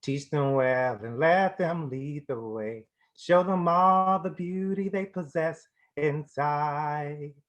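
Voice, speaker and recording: A man's voice: fairly high pitch, slow pace, hardly any pauses. A middle-aged man acting a monologue as a big-hearted, grieving garbage man, earnest and plain-spoken. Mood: tender. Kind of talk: acted dramatic monologue.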